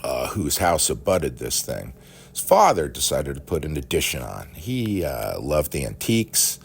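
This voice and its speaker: A man telling a rambling personal story in a halting, folksy, animated way, with a gravelly, husky voice. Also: extremely low pitch.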